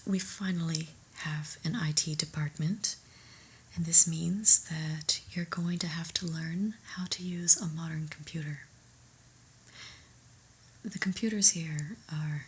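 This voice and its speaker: A woman whispering an ASMR roleplay as a prim, exasperated new office boss, deadpan and politely condescending. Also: fairly low pitch, a little hiss or hum. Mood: wry exasperation.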